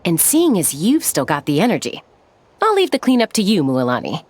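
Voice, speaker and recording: A woman's voice: on the low side, huge pitch swings, fairly quick, a little hiss or hum. An actress playing a laid-back, bossy young woman in a video game, with a dry, casual, teasing way of talking. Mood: wry, weary.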